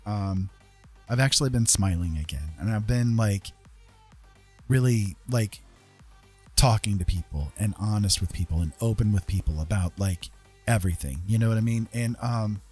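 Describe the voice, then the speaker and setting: A man casually chatting as a livestream host, earnest and encouraging, talking in a halting way with pauses. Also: on the low side, a little mellow, breathy edge, faint music behind. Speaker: livestream host and digital artist.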